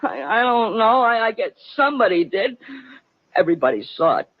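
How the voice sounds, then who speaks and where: An American actor in a 1950s radio drama playing a naive, excitable young would-be detective who stammers when flustered and dramatizes himself. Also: male voice, sits high, a little fast, no room sound. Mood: distraught, confused. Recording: muffled vintage 1950 radio broadcast.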